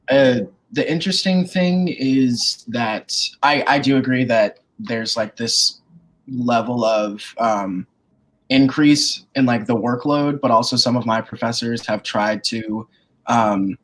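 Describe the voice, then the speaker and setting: A man of twenty from New York, a dance student on a panel, speaking in a slow, measured way. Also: medium pitch, rough recording. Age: 20s. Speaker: college student guest.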